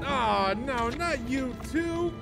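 A woman's voice: sits high, animated, slow pace, vocal fry, loud music behind the voice, exaggerated voice. An actress playing an exasperated, scolding woman in a western adventure comedy audio drama. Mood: dismayed.

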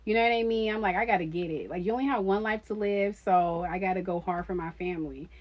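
A woman's voice: mid-range voice, fast talker. A woman in her early thirties chatting casually as a podcast co-host, with a blunt, slangy, profanity-laced way of talking. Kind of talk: casual chat between friends. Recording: dull, lo-fi sound.

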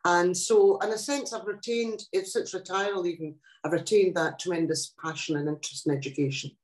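A woman's voice: lowish voice, fairly even intonation. Reflective older woman in echoey room. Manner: reflective, thoughtful, with many pauses and restarts. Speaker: guest poet. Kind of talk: casual interview chat.

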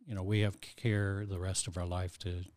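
A man in his sixties, a guest on a podcast, calmly explaining things with frequent ums. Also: low voice. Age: 60s. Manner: explanatory, measured, with many ums. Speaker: heart transplant recipient guest. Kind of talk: casual interview talk.

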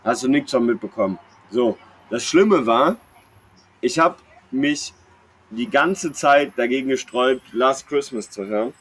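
A man's voice: mid-range voice, unhurried, a bit bright, poor audio. A young man chats casually as a podcast co-host, telling personal anecdotes in a slangy, rambling, jokey way. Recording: clear background noise.

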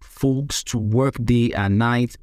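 A man with a Nigerian accent hosting a podcast interview, speaking earnestly and haltingly, often repeating words. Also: mid-range voice, steady tone, darkish tone, no room sound, clean studio sound.

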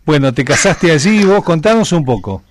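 A man's voice: a little high, sing-song, a little fast. A man with an Argentine Spanish accent interviewing a guest, asking patient questions and answering with short affirmations. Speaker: radio interviewer.

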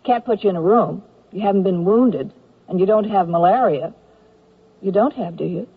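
Husky army nurse, vague, indecisive, hesitant, helpful, radio comedy, dulled vintage recording. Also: female voice, medium pitch, moderate pace. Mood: vague, musing. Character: vague, dithering but helpful female army nurse lieutenant. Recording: muffled 1970s radio recording.

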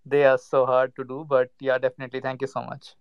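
A man's voice: medium pitch, monotone, quick pace, clear, resonant tone, no echo. A man speaking in a friendly, agreeable way in a casual live audio chat, heard over a slightly dull lo-fi sound.

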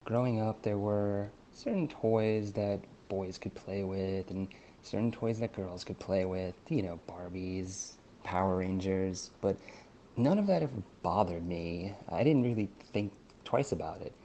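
Mellow low man telling an anecdote. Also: measured pace, slight background noise. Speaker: guest. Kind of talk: casual personal storytelling.

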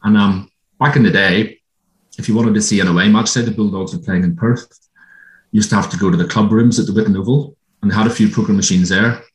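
An Irish man speaks as a guest in an interview, in a low voice. He talks in a reflective way and stops often to gather his thoughts. The sound is roomy and echoey. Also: fairly even intonation. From Ireland. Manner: reflective, halting with ums. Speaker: guest, former gambling addict. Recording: roomy echo.

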